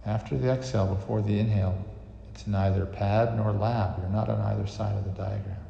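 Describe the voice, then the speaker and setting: Deep-voiced man guiding a meditation. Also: steady tone, vocal fry, mellow, slight background noise. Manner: unhurried, soothing instruction with long pauses. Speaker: meditation guide. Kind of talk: guided meditation with astrology teaching. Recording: reverberant echo, slightly muffled, music behind. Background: music.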